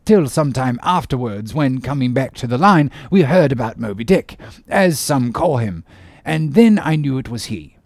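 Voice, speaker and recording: A man's voice: medium pitch, lively intonation, normal speed, darkish tone, no room sound, close to an everyday voice. A man voicing a hearty, jovial English whaling captain in a storybook, full of teasing bluster and exclamations. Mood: rueful, blustery. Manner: exclamatory, ribbing, boisterous.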